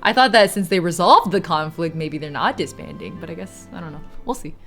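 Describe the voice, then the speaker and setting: A woman gives casual, playful commentary on a game stream. Her voice is bright and her pitch moves around a lot. Light music plays behind her. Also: fairly quick. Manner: animated, jokey, mimics imagined quotes. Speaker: livestreamer reacting to a game.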